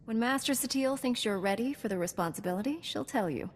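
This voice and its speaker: An actress playing a calm, principled Jedi warrior in a video game, measured and serious. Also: female voice, on the high side, long reverb tail, a little hiss or hum, close to an everyday voice. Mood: grave, admonishing. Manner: measured, serious, gently admonishing.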